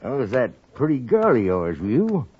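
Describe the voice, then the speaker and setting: Animated Western prospector. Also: male voice, mid-range voice, normal speed, slightly rough. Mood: laconic. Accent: American Western. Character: laconic, lanky frontier prospector at cards. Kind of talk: 1950s radio western acting. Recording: muffled, faintly noisy 1950s radio recording.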